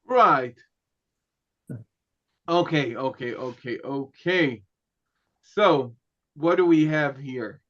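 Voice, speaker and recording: A man teaching a class, a native Hebrew speaker who lectures in a relaxed way, joking and often checking in with "okay" and "right". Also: on the high side, extremely slow, pauses often, muffled recording.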